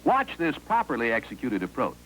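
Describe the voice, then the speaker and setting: An American man reading scripted narration for a 1950s instructional film in a clear, authoritative, instructive manner, on an old film soundtrack. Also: mid-range voice, wildly swooping pitch, fairly quick, a bit bright, a little hiss or hum, rough recording.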